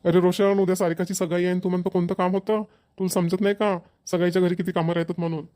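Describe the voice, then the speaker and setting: A chatty middle-aged village father from a cartoon comedy, acted by a man, practical and a little bossy. He speaks rapidly in a fairly high voice with a Varhadi Marathi accent, repeating himself for emphasis. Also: flat delivery, warm tone, dry recording, clean studio sound, lightly acted. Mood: scolding.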